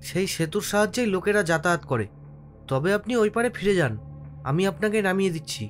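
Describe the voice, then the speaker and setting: Guy doing a village boatman in a horror cartoon, high voice, scared n insistent, faint music behind. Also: lively intonation, quick pace. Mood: earnest. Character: frightened village boatman telling a ghost tale. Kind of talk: cartoon horror story voice acting.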